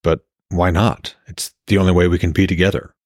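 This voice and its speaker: A man voicing a gentle, reassuring male hero in a fantasy audiobook, with a soft, coaxing manner. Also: sits low, level tone, brisk, dry recording, studio quality, natural voice. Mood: concerned, earnest. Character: gentle, reassuring blind god of Norse fantasy.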